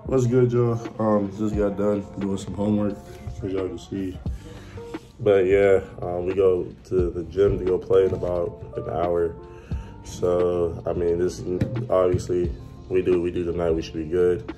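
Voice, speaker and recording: A young man vlogging casually to his phone in a hotel room, with a relaxed slangy delivery full of ums. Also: deep voice, measured pace, music in the background. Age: young adult. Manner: laid-back slangy talk with many ums. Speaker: college basketball player vlogger. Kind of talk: casual vlog to camera. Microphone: phone held at arm's length.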